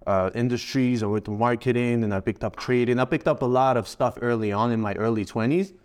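Male guest, 30s, from Morocco, casual and rambling, mid-pitched. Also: fairly quick. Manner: relaxed, rambling, frequent fillers and restarts. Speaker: guest, forex trader. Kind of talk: casual interview answers.

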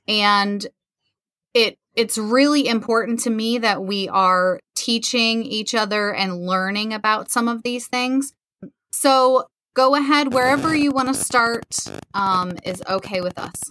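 A woman's voice: on the high side, measured pace, fairly bright tone, dry recording. Podcast host, woman, upbeat and earnest, dry close sound. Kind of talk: podcast interview.

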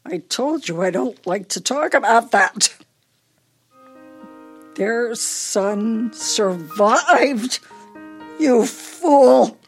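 Actress as a high-voiced, forgetful elderly wife. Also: female voice, lively intonation, extremely slow, long pauses, slightly rough, soft background music, exaggerated voice. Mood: wistful, distressed. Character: forgetful elderly wife, playful, fretful, haunted by loss. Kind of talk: radio play acting.

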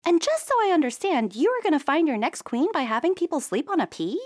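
A woman's voice: high-pitched, big pitch swings, fast, no echo, studio quality, pitched above the performer's own voice. A woman voicing a blunt, sensible young woman in a storybook, sounding incredulous.

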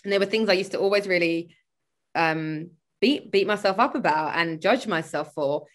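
A woman's voice: on the low side, normal speed. A woman, a spiritual coach chatting as a podcast guest over a video call, rambling and full of fillers. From born in Sweden, grew up in London. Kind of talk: casual conversational interview.

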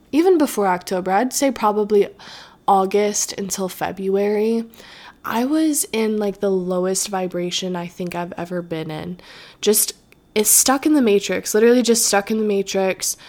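A young woman talks through her solo podcast in a loose, rambling and reflective way. Her voice is a little nasal from allergies and sits at an ordinary middle pitch. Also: a little mellow. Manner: chatty, rambling, self-reflective. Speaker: podcast host. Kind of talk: casual solo podcast chat.

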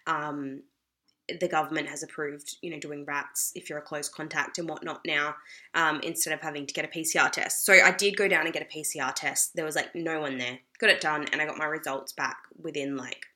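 A young adult woman with an Australian accent, slightly hoarse from a cold, chatting loosely to camera, full of "like" and "you know". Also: low voice, fairly even intonation, fairly quick, bright, forceful tone. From South Australia. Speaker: vlogger. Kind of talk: casual talk to camera.

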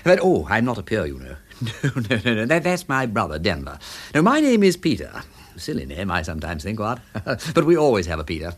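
An actor playing an affable, rambling aristocratic English gentleman detective who feigns silliness, chatty and self-deprecating in a period radio drama. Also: lowish voice, wildly swooping pitch, a little fast, husky, full character voice. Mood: breezy, rambling. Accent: upper-class English.

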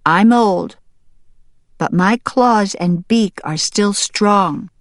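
An old mother hen from a storybook, acted out by a woman. She is weary yet fiercely protective, speaking slowly and firmly with emphatic resolve. The sound is close and dry. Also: mid-range voice, lively intonation, no echo, voice pushed up. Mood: stern warning. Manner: firm, accusing, emphatic. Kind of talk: audiobook character voice.

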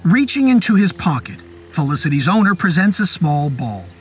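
A man narrating a scripted horror story in a deliberate, literary and descriptive manner. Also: a little high, big pitch swings, slight background noise. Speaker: narrator of a horror fiction podcast. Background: music and rain sound effects.

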